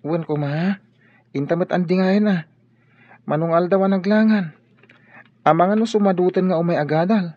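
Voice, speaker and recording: A man's voice: high-pitched, normal speed, pauses often, no room sound. An actor playing a young male student who talks earnestly and encourages his friend in a radio drama. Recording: dull radio drama audio.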